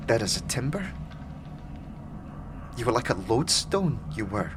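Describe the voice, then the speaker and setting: Man voicing a wary streetwise tiefling girl, lively pitch. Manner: colloquial street cant, clipped. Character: wary, streetwise young tiefling woman with a tail. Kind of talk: fantasy audio drama acting. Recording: clear background ambience noise.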